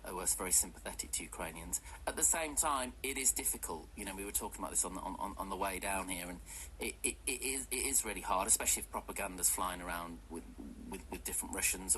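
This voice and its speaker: Deep, fast-talking earnest man. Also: pushed and bright, a little hiss or hum, rough recording. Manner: earnest, frequent restarts and repetitions. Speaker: charity project manager, guest. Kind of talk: radio interview answers. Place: radio studio.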